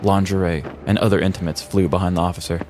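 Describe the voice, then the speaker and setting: A man's voice: sits low, fairly even intonation, fast, noisy background. A man hosting a podcast, reading a scripted, tongue-in-cheek true-crime story in a storyteller's manner. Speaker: podcast host. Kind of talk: scripted dramatic true-crime narration, comedic.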